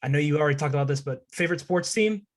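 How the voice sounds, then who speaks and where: A man's voice: a little high, very fast. A young man interviewing a guest as a student podcast host, in a casual conversational style. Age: young adult.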